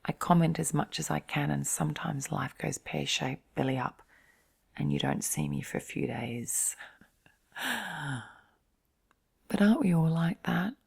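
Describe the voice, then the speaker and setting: A middle-aged woman in a soft, whispery, breathy voice, giving a gentle, reflective bedtime chat in an intimate ASMR-like style. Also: low-pitched, expressive, measured pace, pauses often. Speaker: host of relaxation and sleep channel.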